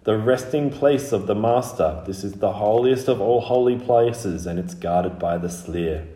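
A man voicing an ancient, dry, ominous guardian spirit in a storybook, with a slow, chanting delivery. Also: lowish voice, warm tone, reverberant, far from the mic, natural voice. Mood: solemn, menacing.

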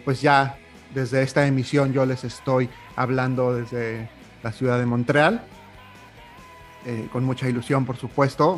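Young Mexican man, podcast host, chatty monologue, average pitch, faint music bed. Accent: Mexican Spanish. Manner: chatty, warm-hearted, with filler "eh, pues".

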